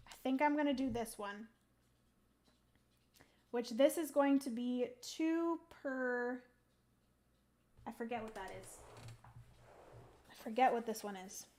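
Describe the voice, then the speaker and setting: A young woman on a livestream, talking through her moves in a solo board game and chatting with viewers, her voice going a little hoarse. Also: a little high, unhurried, pauses often, a little mellow. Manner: chatty, thinks aloud, greets chat viewers. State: losing her voice. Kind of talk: casual livestream play-by-play.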